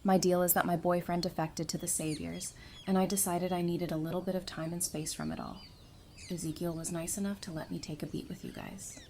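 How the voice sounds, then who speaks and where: A woman plays a tough young female survivor in an audio drama, dry and sardonic. Her voice is low and very mellow, with clear background noise under it. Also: fairly even intonation, a little fast, lightly acted. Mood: dry, resigned.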